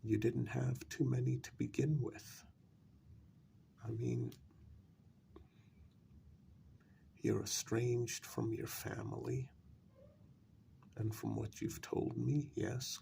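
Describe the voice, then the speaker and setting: A man playing a calm, coldly persuasive vampire in a soft-spoken ASMR role-play, talking deliberately in a gravelly, breathy voice. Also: medium pitch, slight background noise, exaggerated voice. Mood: cold, reasoning. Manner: slow, deliberate, pausing between phrases.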